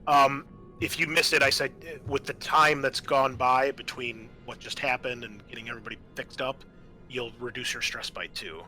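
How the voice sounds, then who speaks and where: A man's voice: medium pitch, steady tone, normal speed, pushed and bright, soft background music, poor audio. A man acting as game master of a tabletop roleplaying game, talking casually to the players.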